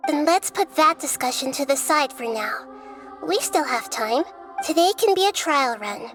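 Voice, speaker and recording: A woman voicing a young, gentle female goddess of wisdom who explains things in a calm, measured way. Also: high-pitched. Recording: game audio with faint music.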